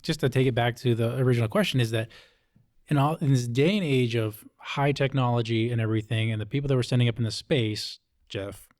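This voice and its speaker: A man's voice: medium pitch, fairly quick, a little mellow. A man hosting a casual interview, asking questions in an informal, jokey way. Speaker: interview host.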